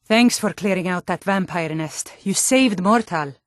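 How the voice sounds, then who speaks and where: Woman playing a female warrior guard, fantasy game, stern, taunting, slow-paced, dry close sound. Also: on the low side, no echo, lightly acted. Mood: gruff, watchful. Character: tough, blunt female Nord warrior guard. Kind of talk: fantasy video game voice lines.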